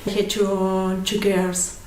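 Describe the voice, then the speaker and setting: A woman's voice: mid-range voice, completely flat delivery, slow pace, darkish tone, a little hiss or hum. A woman from Uruguay with a Uruguayan Spanish accent, a native Spanish speaker recalling her arrival with hesitant, restarting sentences. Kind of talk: documentary interview. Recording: roomy echo.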